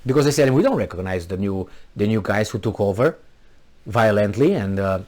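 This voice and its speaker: A man's voice: fairly low pitch, animated, a little fast, a little mellow. A man with a Romanian accent, originally from Transylvania, delivering an argumentative political monologue full of rhetorical questions. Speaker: commentary channel host.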